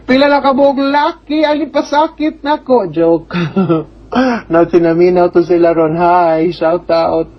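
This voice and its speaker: A woman's voice: lowish voice, unhurried, highs cut off. A Filipino woman with a Bisaya accent reads out questions and answers them as an advice host, explaining informally with tag questions. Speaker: host giving legal advice to a letter sender. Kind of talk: advice segment, reading out and answering questions conversationally.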